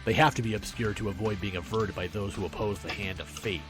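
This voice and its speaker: A man acts out a villainous politician with a deepish, lower-than-average voice. He is suave and menacing, a measured, pompous preacher of doom in a visual novel, with prominent music playing underneath. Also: lightly acted. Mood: fanatical.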